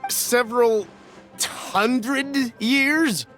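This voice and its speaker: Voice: medium pitch, very slow, a bit bright. An actor voicing a nervous, penny-pinching merchant in a video game, anxious and flustered. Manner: anxious, flustered and over-careful. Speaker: merchant buying goods. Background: game music.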